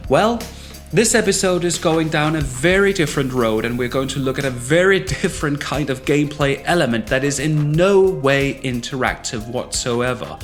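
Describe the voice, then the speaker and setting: A man's voice: on the high side, lively intonation, moderate pace. A man hosting a video essay in scripted narration. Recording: music behind the voice. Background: background music.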